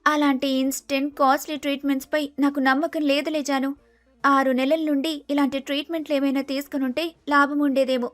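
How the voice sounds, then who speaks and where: A woman's voice: sits high, level tone, fast talker, no room sound, natural voice. A woman voicing a sensible, sceptical young bride-to-be in storybook dialogue, reasoning and lecturing. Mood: matter-of-fact.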